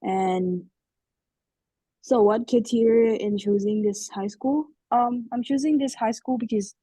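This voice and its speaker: A young woman whose first language is Thai, answering questions in a webinar with frequent ums and pauses. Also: fairly high pitch, mellow, slightly rough, no echo. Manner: hesitant, many ums. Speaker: student guest speaker. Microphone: video call. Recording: muffled video call audio.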